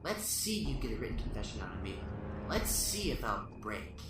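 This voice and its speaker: A man playing a calm, mocking male serial killer under interrogation, in a roomy recording set back from the mic with music beneath. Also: on the low side, moderate pace, recorded from a distance. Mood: smug, mocking. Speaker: arrested suspect under interrogation. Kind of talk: amateur radio drama acting.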